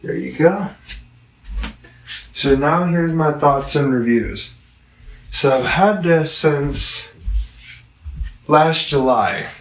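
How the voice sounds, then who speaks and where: Slow-talking man in an echoey room. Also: on the high side, expressive, a little hiss or hum. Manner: halting, digressive delivery with long pauses. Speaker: product reviewer. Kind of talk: casual rambling product review vlog. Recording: roomy echo, distant microphone.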